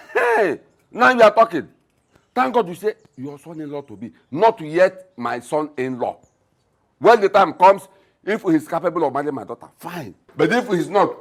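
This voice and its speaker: A scornful husky father. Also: fairly high pitch. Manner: mocking, sarcastic, stammers when agitated. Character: scornful middle-aged father, mocking, bossy.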